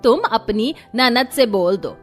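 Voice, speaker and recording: Cartoon grandma-in-law played by a woman. Old, fussy, complaining, unhurried, fairly high, music underneath. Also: lively intonation. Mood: demanding. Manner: slow, grumbling. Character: demanding, complaining elderly grandmother-in-law of the household. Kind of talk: Hindi cartoon family drama.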